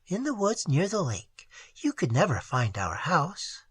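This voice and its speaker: A reader voicing a shy, gentle young woman sculptor, soft and whispery, with an innocent, wondering manner. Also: mid-range voice, huge pitch swings, unhurried, slightly rough, no room sound, full character voice. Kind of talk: audiobook dialogue.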